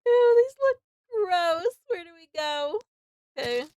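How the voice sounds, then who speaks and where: A woman's voice: extremely high pitch, very animated, slow pace, darkish tone, no echo. A young woman doing casual let's play commentary, reacting informally and reading on-screen text aloud. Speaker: streamer playing and reacting to a game.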